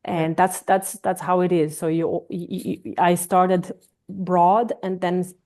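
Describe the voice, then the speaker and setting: A woman's voice: lowish voice, flat delivery, unhurried, mellow. A woman hosting a podcast interview, speaking in a casual, hesitant way full of fillers and restarts. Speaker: podcast host.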